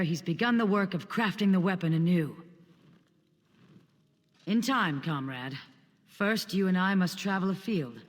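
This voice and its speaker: Formal fantasy scholar, high male voice, reverberant. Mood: grave, urgent. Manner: measured, formal, theatrical phrasing. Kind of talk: video game character dialogue.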